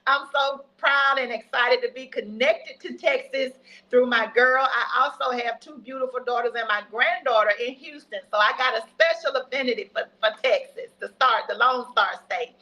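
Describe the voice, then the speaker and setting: Bright-voiced upbeat nurse in her fifties. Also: sits high, moderate pace, low-quality recording. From United States. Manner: folksy and upbeat, drops in laughs and Southern colloquialisms. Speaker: registered nurse guest. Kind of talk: casual health talk on a livestream.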